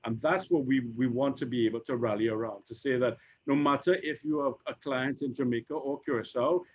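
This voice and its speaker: A Trinidadian man in his fifties, an insurance executive speaking on a panel, who talks haltingly with many repeated words and fillers. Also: medium pitch, fairly even intonation. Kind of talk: conversational panel discussion. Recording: dull lo-fi recording.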